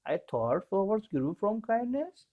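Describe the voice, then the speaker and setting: A storyteller voicing a curious, polite young boy in a bedtime story, soft-spoken and full of wonder. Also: medium pitch, sing-song, unhurried, narrow, tinny audio, pitched above the performer's own voice.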